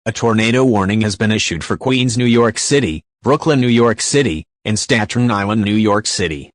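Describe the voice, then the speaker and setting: Low-voiced man announcing breaking news, dry sound. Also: lively intonation, moderate pace, dry recording.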